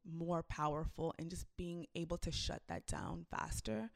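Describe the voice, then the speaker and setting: A young woman who DJs, chatting casually and telling a story with lively shifts, acting out what people said. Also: on the low side, unhurried, vocal fry. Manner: animated storytelling, acts out quotes. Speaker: club DJ. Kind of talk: casual conversation.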